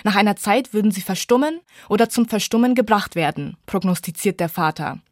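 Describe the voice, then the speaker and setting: A woman's voice: mid-range voice, expressive, fairly quick, no echo, studio quality. A woman reporter reading a scripted radio book review feature.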